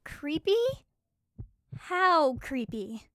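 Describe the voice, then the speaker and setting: A woman's voice: high-pitched, lively intonation, drawn-out words, sharp tone, no echo, studio quality, heavily acted. A woman playing a bold, protective warrior in a fantasy audio drama, direct and questioning. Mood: uneasy.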